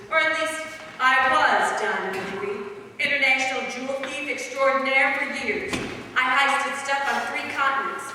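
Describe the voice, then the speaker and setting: A woman playing a wisecracking dame from a vintage radio crime drama. She is sassy and defiant in a high, forceful voice. The sound is distant and very echoey. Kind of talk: 1940s detective radio play acting. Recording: echoey hall, distant mic, faint noise.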